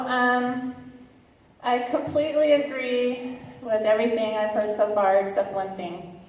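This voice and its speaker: A woman with a fairly high voice gives a slow, unscripted keynote speech, pausing on fillers and restarting her sentences. She speaks bluntly and laughs between her lines. The sound is far off the mic and rings with long reverb in a large convention hall.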